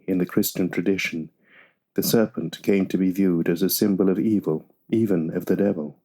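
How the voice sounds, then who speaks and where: A man reading a nonfiction audiobook in a measured, deliberate way. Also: low-pitched, dark tone. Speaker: audiobook narrator. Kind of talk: scripted nonfiction audiobook narration.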